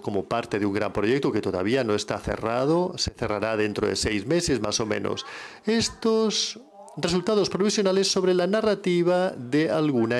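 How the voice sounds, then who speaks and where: A man giving a simultaneous interpretation of an academic conference lecture, measured and often correcting himself mid-phrase. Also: fairly low pitch. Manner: measured, with frequent self-corrections.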